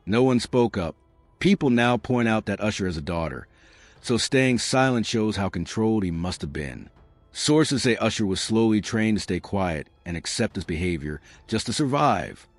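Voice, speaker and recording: Man, scripted celebrity-gossip narration, low lively voice, dry close recording, faint music behind. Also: normal speed, dry recording.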